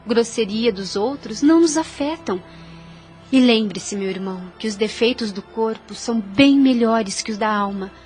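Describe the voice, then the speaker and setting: A woman playing a gentle, devout young woman in an audio drama, earnest and soothing. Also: fairly high pitch, natural voice. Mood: consoling.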